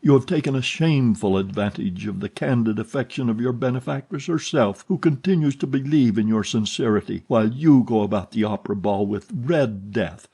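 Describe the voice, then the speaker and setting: A man's voice: fairly low pitch, animated, measured pace, mellow, husky. A man voicing a jealous, bitter young man in an audiobook reading, delivering impassioned and sarcastic outbursts.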